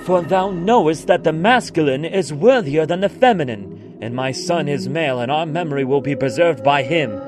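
An actor playing a proud, touchy younger brother vizier in an audio drama, speaking in a heated, argumentative way. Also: male voice, on the high side, lively intonation, normal speed, hardly any pauses, lightly acted. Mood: indignant. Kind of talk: radio drama acting. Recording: voice over loud music, from a distance.

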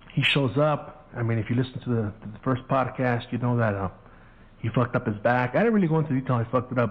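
A middle-aged man telling stories in a casual monologue, full of profane street slang, acting out the voices of people he quotes. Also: medium pitch. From Southern California. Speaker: podcast host. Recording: phone-quality audio.

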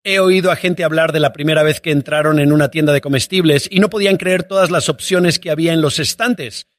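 A man's voice: fairly high pitch, a little fast, no echo. Man, podcast host, earnest and upbeat, dry close sound. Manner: earnest, upbeat, patriotic praise. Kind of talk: welcoming interview chat.

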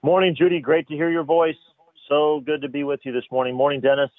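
A man's voice: a little high, little pitch movement, fast talker, no room sound. A man, a radio station manager, speaking earnestly on a phone line during a casual radio chat. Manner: earnest, praising. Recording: tinny dry phone line.

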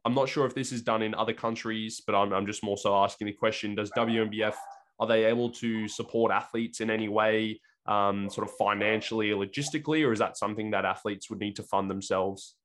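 A man hosting a podcast, asking relaxed, hedging questions. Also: on the low side, fairly quick, a little hiss or hum. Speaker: podcast host. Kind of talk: casual interview questions.

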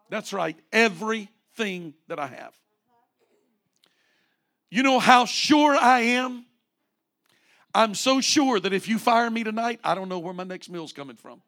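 A man's voice: sits high, fairly bright tone. A man in his late forties, a pastor preaching a fervent sermon with rising repeated refrains. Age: 40s.

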